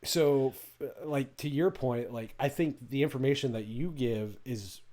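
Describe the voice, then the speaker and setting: A man in a casual chat, speaking haltingly and leaning on filler words. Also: medium pitch, warm tone.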